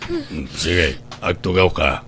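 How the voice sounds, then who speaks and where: A man's voice: low voice, wildly swooping pitch, slow, a bit bright, a little hiss or hum, heavily acted. A man with an Ilocano Filipino accent playing a hesitant, apologetic older brother in a comedy drama.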